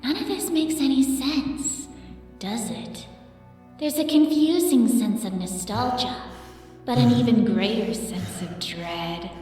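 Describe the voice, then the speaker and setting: An eerie female narrator, played by a woman speaks slowly and deliberately with an ominous, taunting tone. Long reverb surrounds the voice, with music faintly behind it. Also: a little high, dark tone. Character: eerie, taunting narrator voice haunting the hero's mind. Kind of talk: comic dub dramatic narration. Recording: echoey hall-like reverb, distant, faint music.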